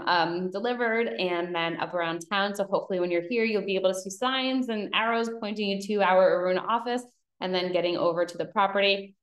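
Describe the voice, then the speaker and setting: A roomy, echoing webcam recording of a woman with a slightly low voice. She talks through slides as a real estate presenter, explaining in an informal, conversational way. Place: indoor room, video call. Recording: roomy echo on webcam call.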